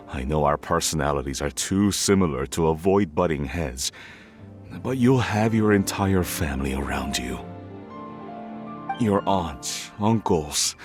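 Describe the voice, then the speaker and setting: A gruff but soft-hearted middle-aged father from a video game. He has a low voice and speaks slowly and haltingly, with faint music behind. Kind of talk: animated game voice acting.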